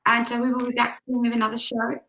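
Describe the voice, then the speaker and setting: A woman's voice: on the high side, flat delivery, fast, bright, forceful tone, far from the mic. A woman host closing a show with a cheerful sign-off.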